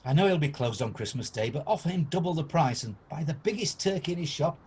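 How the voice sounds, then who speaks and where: Man acting elderly reformed miser, husky, very animated pitch, flustered, eager, exclamatory, amateur radio play. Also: fast. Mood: eager, generous.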